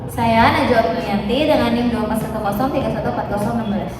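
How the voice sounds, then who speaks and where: A woman's voice: a little high, fast talker, a crowd in the background. A young woman explaining points informally as a student presenter. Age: young adult. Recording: echoey hall with background noise.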